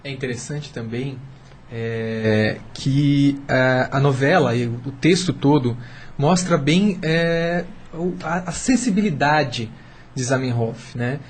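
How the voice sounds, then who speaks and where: A man's voice: mid-range voice, slow pace. A Brazilian man commenting as a radio panel guest, explaining carefully while searching for words. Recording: faint background noise.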